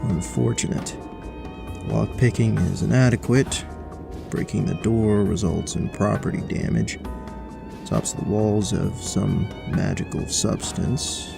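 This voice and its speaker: A man playing a terse, methodical dungeon inspector in an audio drama, clipped and dry, with a gravelly voice. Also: on the low side, huge pitch swings, slow pace, over music, heavily acted. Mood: analytical, displeased. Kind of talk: audio drama character monologue.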